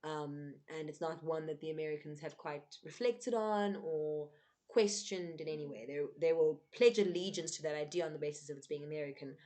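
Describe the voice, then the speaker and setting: Brief: young South African woman vlogger, a touch low-pitched, earnest and emphatic, unscripted talk peppered with "um" and "right?". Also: fairly quick, warm tone. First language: not English. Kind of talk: unscripted commentary to camera.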